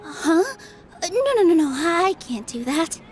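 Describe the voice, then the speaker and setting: Gentle, high-voiced young performer. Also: female voice, lively intonation, a little slow, soft background music. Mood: flustered, insistent. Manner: polite, gentle, considerate. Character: gentle, kind young woman theatre dancer. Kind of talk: English anime game dub acting.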